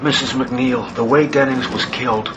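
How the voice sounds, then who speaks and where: An actor playing a sceptical, level-headed Jesuit priest in a radio drama, terse and dry. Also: male voice, mid-range voice, fairly even intonation, normal speed, muffled recording, natural voice.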